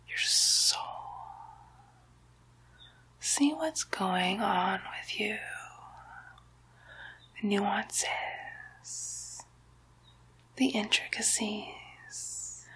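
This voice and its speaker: A woman voicing a playful, sly female demon doctor in an ASMR medical roleplay, whispering softly in a slow, teasing, lightly menacing way. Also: medium pitch, rough recording. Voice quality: soft breathy whisper.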